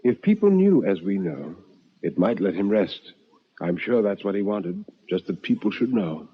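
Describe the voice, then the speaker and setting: A man voicing a shaken but compassionate male companion in a radio ghost story, speaking in a hushed, halting, earnest way. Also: lowish voice, sing-song, husky, lo-fi sound, voice pushed down.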